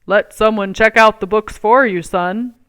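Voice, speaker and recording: A woman's voice: mid-range voice, measured pace, a bit bright, smooth, natural voice. Woman doing a stern, commanding policeman. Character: authoritative policeman, stern but reasoning.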